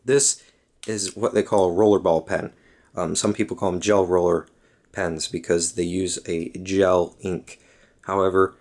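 A young man casually showing pens on a desk at home, rambling and correcting himself, slightly hoarse. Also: low-pitched. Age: young adult. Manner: rambling and self-correcting, lots of ums. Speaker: vlogger. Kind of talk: casual show-and-tell talk.

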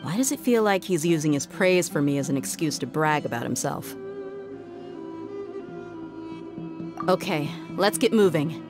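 A woman's voice: low voice, expressive, brisk, music in the background. An actress playing a tough, easygoing young female mercenary in a video game, casual and cool-headed.

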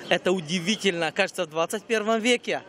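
A man in his thirties, a guest minister in an outdoor street interview, telling stories in an animated way into a handheld mic. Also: sits high, quick pace. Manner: animated storyteller, acts out quotes. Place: busy pedestrian street outdoors. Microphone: host's handheld mic.

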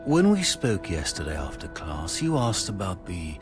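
A kindly wizard professor in a fantasy game. His formal speech is deep and widely varied in pitch, with music clearly behind it. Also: huge pitch swings, normal speed. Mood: gentle, inquiring. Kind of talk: dramatic game cutscene dialogue.

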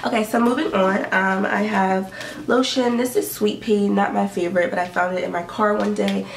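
A woman's voice: mid-range voice, fairly even intonation, moderate pace, gravelly, slight background noise. A young woman chatting casually to camera in her bedroom, a bit stuffy and sniffly from allergies, chatty and upbeat with lots of "like" and "um". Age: young adult. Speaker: beauty and fashion vlogger. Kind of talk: casual what's-in-my-bag chat. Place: bedroom indoors. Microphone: camera in front at desk distance. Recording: roomy bedroom echo, mic a bit far.

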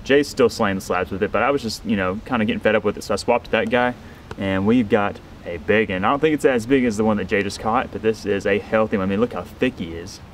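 A man in his thirties filming himself fishing from a small boat in a swamp, chatting excitedly, laughing and repeating himself as fish bite. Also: lowish voice, fast, slight background noise, poor audio. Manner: excitable, laughs often, repeats words when hooked up. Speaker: fishing vlogger. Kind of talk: excited outdoor fishing commentary. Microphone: action camera mounted on the boat.

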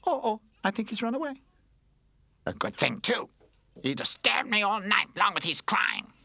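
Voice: big pitch swings, moderate pace, gravelly, pushed and bright, dry recording, exaggerated voice. A narrator voicing a bratty, selfish baby toy with a taunting, gloating manner in a children's story. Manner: shrill, taunting, sing-song.

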